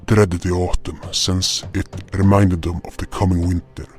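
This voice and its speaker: A man's voice: sits low, level tone, normal speed, a little mellow. A man reading scripted first-person fantasy narration as a storyteller. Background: music.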